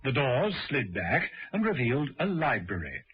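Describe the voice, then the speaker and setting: A man's voice: medium pitch, very animated, normal speed, heavy background noise. A man narrating a scripted vintage radio drama. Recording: dull old radio recording.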